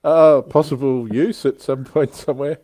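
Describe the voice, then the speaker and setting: A man's voice: medium pitch, fairly even intonation, normal speed, mellow, no room sound. A man hosting a casual, jokey hobby livestream, chatting and reading out viewer comments. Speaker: livestream host.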